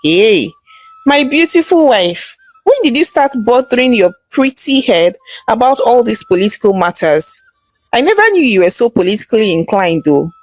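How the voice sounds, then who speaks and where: A woman storyteller voicing a calm, affectionate husband patiently explaining things in a folktale. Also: on the high side, moderate pace, no room sound. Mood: fond, explaining. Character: calm, easygoing husband, aspiring politician. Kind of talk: storybook character dialogue.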